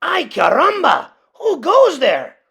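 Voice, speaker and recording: A storybook reader voicing an excitable, boastful little kitten posing as a Chihuahua sword fighter, with theatrical singsong delivery. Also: high-pitched, slow, gravelly, pitched above the performer's own voice, heavily acted. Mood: bold.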